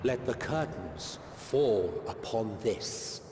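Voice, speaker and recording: A theatrical chronicler in an echoing hall. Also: male voice, fairly low pitch, animated, takes it slowly, noisy background. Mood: reflective. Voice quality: theatrical storyteller delivery. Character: wise male chronicler closing a tale. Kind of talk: game cutscene narration.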